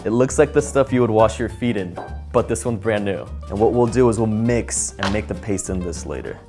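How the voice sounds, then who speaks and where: A man's voice: fairly low pitch, lively intonation, brisk, music in the background. A young American man from Los Angeles, explaining step by step how to make a family dish while chopping in a small home kitchen. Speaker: kimchi workshop teacher. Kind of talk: casual cooking demonstration.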